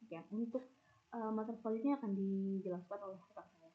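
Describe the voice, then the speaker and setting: A woman's voice: medium pitch, quick pace. A young adult woman, explaining material as a student giving a class presentation. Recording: muffled, phone-like narrow band.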